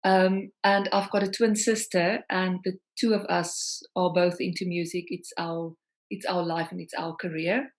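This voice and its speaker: A woman's voice: fairly low pitch, level tone, unhurried. A middle-aged South African woman, a singer-songwriter, talking reflectively over a video call with many ums. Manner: warm, reflective, frequent ums.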